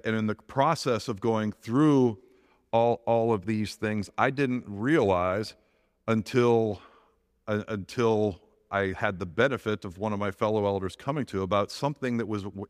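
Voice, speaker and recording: A man's voice: on the low side, big-room sound. A middle-aged man with a big voice, giving an earnest personal testimony as a church elder, often restarting his sentences.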